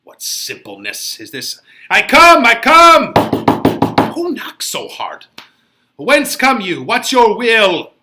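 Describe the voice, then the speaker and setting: A man's voice: very high voice, huge pitch swings, slow pace, sharp tone, pitched above the performer's own voice, heavily acted. A man voicing an exasperated holy friar who scolds in declamatory Shakespearean verse. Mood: frantic, urgent. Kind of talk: dramatic Shakespeare reading.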